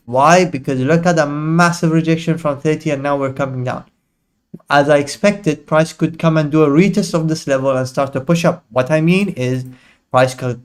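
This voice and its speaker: A young man hosting a live trading stream, joking with chat in slang and explaining chart setups in loose bursts. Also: a little high. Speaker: livestream trading host.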